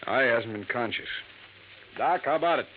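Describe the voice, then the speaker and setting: An actor playing a terse police lieutenant in a 1950s radio drama. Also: male voice, medium pitch, animated, moderate pace, close to an everyday voice. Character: gruff male police lieutenant. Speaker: police officer. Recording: tinny, noisy old radio broadcast.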